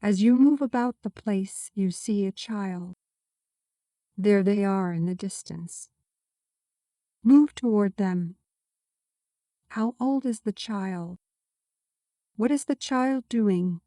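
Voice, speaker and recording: Slow, mellow woman guiding meditation. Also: mid-range voice, no echo, clean studio sound. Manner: soothing, measured, gently instructing. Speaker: meditation guide. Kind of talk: scripted guided meditation narration.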